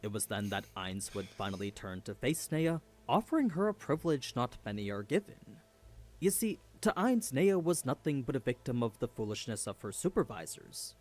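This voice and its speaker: Man narrating with lively, swooping intonation. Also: mid-range voice, normal speed. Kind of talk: scripted story recap narration.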